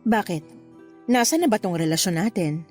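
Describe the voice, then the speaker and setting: A woman playing a flirtatious, unapologetic married mother in a Tagalog radio love drama, with a playful, expressive delivery. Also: medium pitch, moderate pace, faint music behind, natural voice. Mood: coaxing. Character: flirtatious married mother having a secret affair, breezily unapologetic.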